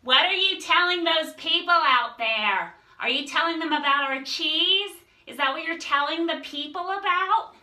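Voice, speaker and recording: A woman voicing a gruff father who owns a taco shop and shouts across the room at his daughter. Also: very high-pitched, normal speed, few pauses, reverberant, far from the mic, pitched above the performer's own voice, full character voice. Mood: scolding. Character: gruff loud dad, owner of a taco shop.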